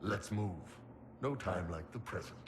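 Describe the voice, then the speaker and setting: An actor playing a weary, fatalistic male warrior in a video game, talking with wry, deadpan gallows humour. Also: on the low side, unhurried, background noise, lightly acted. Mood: wry, grim. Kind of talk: video game cutscene acting.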